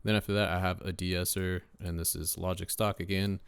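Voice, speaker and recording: Young guy with a deep voice, gravelly and smooth, dry close sound, lots of ums. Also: flat delivery, brisk, no echo. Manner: laid-back, lots of uh and um fillers. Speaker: rapper and home mixing engineer. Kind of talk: casual screen-share mixing tutorial.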